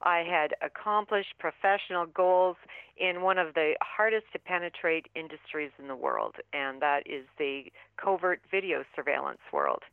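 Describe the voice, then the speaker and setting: A woman's voice: low voice, animated, normal speed, gravelly, clear, resonant tone, dry recording. A woman author being interviewed over the phone, speaking earnestly and with emphasis. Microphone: telephone. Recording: tinny phone line.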